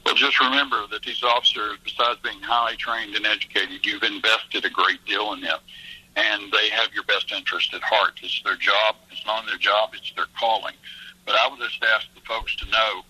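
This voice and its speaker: A man's voice: on the low side, steady tone, moderate pace, bright, forceful tone, breathy edge, low-quality recording. A man calling in as a guest to a radio talk show, earnest and emphatic as he argues his point. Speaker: police association guest. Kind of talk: phone-in radio interview.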